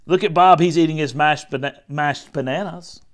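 A man's voice: fairly high pitch, normal speed, natural voice. A man voicing a weary storybook mother who reasons with and scolds her small daughter in exasperation. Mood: exasperated. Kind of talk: storybook character voice.

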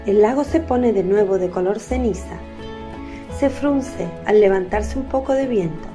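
A woman's voice: medium pitch, measured pace. A woman narrating a religious radio drama from a script. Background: faint music.

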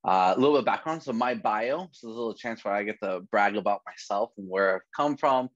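A man in his thirties from the Bay Area, a physical education teacher presenting over slides in a relaxed way, with many fillers and restarts. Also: fairly low pitch, quick pace, no room sound. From San Francisco Bay Area. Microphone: video call. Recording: dry, dull lo-fi video call audio.

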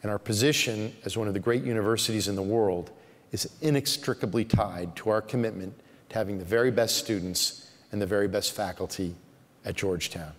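A middle-aged man, a university president, giving a measured, earnest prepared speech from a lectern in a chapel. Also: on the low side. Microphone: podium microphones. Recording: long hall reverb.

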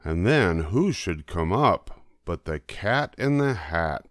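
Slow, animated older man reading stories. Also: low-pitched, no echo, clean studio sound. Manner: animated, drawn-out emphasis. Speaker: storybook reader. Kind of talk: expressive children's storybook reading.

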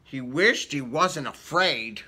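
Animated man reading to children. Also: medium pitch, slow, slightly rough. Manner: theatrical, asks the young listeners questions. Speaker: children's story reader. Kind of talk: animated picture-book read-aloud with casual asides. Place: indoor room with book cut-outs.

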